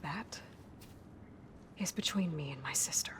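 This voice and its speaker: An actress playing a stubborn, protective young warrior heroine in a video game, with an earnest, often breathy delivery. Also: female voice, low-pitched, measured pace, fairly bright tone, slight background noise. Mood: resolute. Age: young adult. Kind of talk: dramatic video game cutscene acting.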